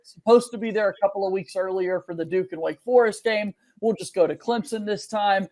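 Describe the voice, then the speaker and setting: A man's voice: high-pitched, fairly quick, a little mellow. A man giving casual game analysis as a panelist on a sports talk show.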